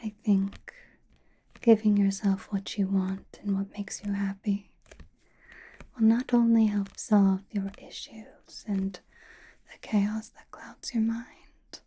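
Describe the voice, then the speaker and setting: A woman playing a calm, knowing, teasing sorceress who reads tarot in an ASMR roleplay, with a breathy, soothing whisper and deliberate delivery. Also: medium pitch. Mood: gentle, knowing. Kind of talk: ASMR roleplay, personal attention.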